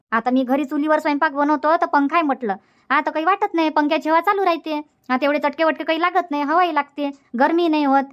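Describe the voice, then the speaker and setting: A woman's voice: high-pitched, natural voice. A woman voicing a whiny young village sister in a cartoon, grumbling to herself with a Varhadi Marathi accent. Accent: Varhadi Marathi from Vidarbha. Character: whining young village sister sweating over a cooking fire. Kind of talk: dubbed cartoon comedy monologue.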